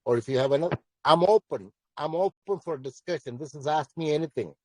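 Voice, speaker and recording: A man's voice: a little high, fairly quick, no room sound, rough recording. A man with a commanding voice, answering questions bluntly and emphatically as a project founder in a live audio Q&A. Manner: blunt, emphatic, repeats himself.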